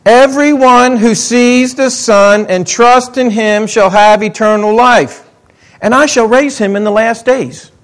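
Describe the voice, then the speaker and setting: High-voiced man preaching conversationally. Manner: conversational, swinging between excited highs and muttered asides. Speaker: pastor. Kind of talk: sermon.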